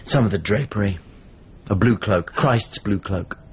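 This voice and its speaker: A husky, probing painter. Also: male voice, fairly low pitch, measured pace, highs cut off. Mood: reflective. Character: Italian painter, probing visitor seeking an old friend. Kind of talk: naturalistic radio drama acting.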